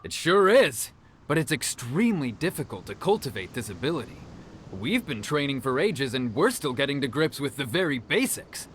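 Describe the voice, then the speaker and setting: An actor playing a proud, earnest young martial arts disciple who explains things in a video game. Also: male voice, medium pitch, very animated, moderate pace, fairly bright tone, slight background noise. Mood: explaining, admiring.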